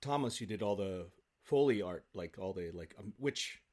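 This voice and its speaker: A man talking as an interview guest, an actor who rambles excitedly and repeats himself for emphasis in casual chat. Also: on the low side, normal speed.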